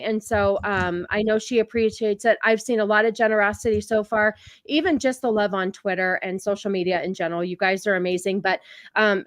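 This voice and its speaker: A woman's voice: medium pitch, no echo. A woman chatting as a podcast guest in an earnest, heartfelt and self-deprecating way from her home streaming setup. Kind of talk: casual chat.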